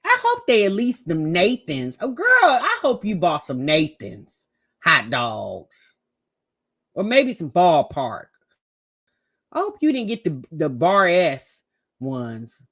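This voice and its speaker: A woman's voice: on the low side, wildly swooping pitch, slow, fairly bright tone, slightly rough. An American woman giving casual, gossipy reaction commentary in a sassy, conversational way. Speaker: host reacting to a family vlog.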